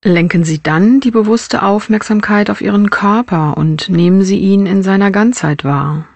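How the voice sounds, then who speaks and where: A woman's voice: mid-range voice, normal speed, gravelly, a little mellow, no echo. Woman guiding a meditation, dry close sound. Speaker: mindfulness meditation teacher. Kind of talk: guided body scan meditation narration.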